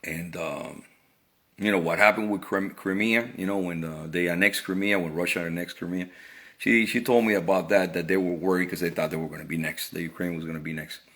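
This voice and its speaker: A man's voice: deep voice, fairly even intonation, brisk, fairly bright tone. A middle-aged man from Cuba talking through his opinions in a casual monologue, earnest and often repeating words as he restarts phrases. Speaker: vlogger.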